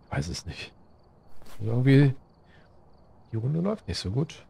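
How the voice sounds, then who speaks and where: A man's voice: medium pitch, very animated, moderate pace, vocal fry, warm tone, slightly rough. A man giving casual let's play commentary, thinking aloud to himself in short, musing phrases. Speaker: gaming streamer playing solo.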